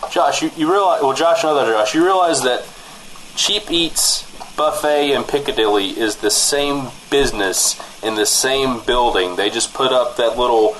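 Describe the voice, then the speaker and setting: Man as dry, exasperated comedy radio host, mid-pitched. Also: a bit bright, slight background noise, lightly acted, low-quality recording. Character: exasperated straight-man radio host refereeing squabbling co-hosts. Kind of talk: comedy talk-show banter.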